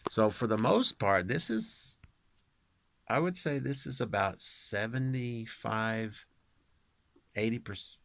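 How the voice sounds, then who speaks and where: A man with a low voice talks in a casual, explanatory vlog style. He rambles and wanders into dry asides as he explains. The sound is close and dry, with very little room on it. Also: fairly bright tone, no echo. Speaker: amateur singer and home-recording video creator.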